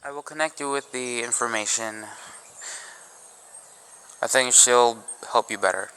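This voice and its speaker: A woman's voice: rumbling low, unhurried, fairly bright tone, smooth. A woman playing an unflappable telephone operator in a radio drama, polite, formulaic and detached. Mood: unmoved.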